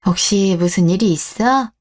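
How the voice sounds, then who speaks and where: A caring older father in a radio drama, voiced by a woman. The tone is mellow and unsharpened, at a womanly middle pitch. He comes across as perceptive and gently probing. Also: moderate pace, faint music behind, close to an everyday voice. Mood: teasing, caring. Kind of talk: scripted radio-drama dialogue.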